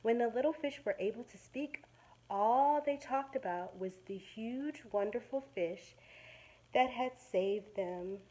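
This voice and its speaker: A woman teacher reading a children's story aloud in an expressive, dramatic way, pausing to explain words simply to young listeners. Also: fairly high pitch, poor audio.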